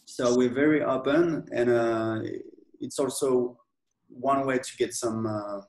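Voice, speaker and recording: A man with a French accent, a software company owner speaking in a casual interview, hesitant, with many fillers and restarts. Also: mid-range voice, fairly even intonation, slow pace, echoey room, poor audio. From France.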